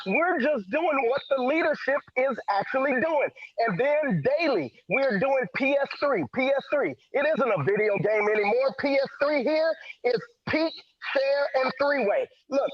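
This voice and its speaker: A man's voice: extremely high pitch, dry recording. A man with a stutter giving an emphatic, preacher-like motivational sales talk as a guest speaker. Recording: dull lo-fi online call audio.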